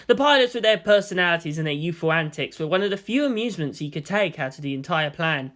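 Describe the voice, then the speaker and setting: Mid-pitched dramatic story narrator. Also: lively intonation, fast talker, a bit bright. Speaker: narrator reading fan fiction.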